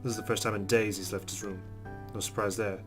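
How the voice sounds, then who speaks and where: Man, low even voice, brisk pace, game narration, music behind. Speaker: let's play host. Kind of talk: narrating a visual novel aloud.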